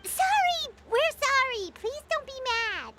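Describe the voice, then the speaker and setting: Squeaky, childlike fairy companion. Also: female voice, sing-song, a little slow, noisy background, heavily acted. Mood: apologetic. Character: tiny excitable female fairy-like companion, childlike, cheeky. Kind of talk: animated game voice acting. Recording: music playing over the voice.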